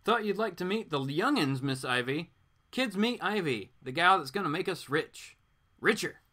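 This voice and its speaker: Man doing a folksy, boastful rich dad, bright. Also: on the high side, expressive, moderate pace, pitched above the performer's own voice. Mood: jovial, boastful. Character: folksy rich father in league with a villainess.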